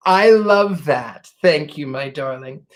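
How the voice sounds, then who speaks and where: A man hosting a casual livestream, answering viewer questions in a courtly, gracious way, thanking people warmly and pausing to restart his sentences as he searches for words. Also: a little high, expressive. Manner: reflective, many restarts while searching for words. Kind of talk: casual live Q&A chat.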